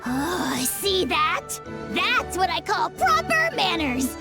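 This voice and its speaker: A tiny self-styled dragon lord from a video game. The voice is extremely high and drawn out, pompous and bratty, with music clearly behind it. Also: female voice, wildly swooping pitch, slow pace, heavily acted. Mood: smug, pompous. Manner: pompous, haughty, loud. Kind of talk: animated video game acting.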